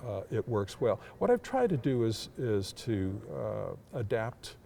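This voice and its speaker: An older man, a public address announcer, reflecting in an interview in a deliberate, hesitant way with formal wording. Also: fairly low pitch, very animated, vocal fry, warm tone, a little hiss or hum.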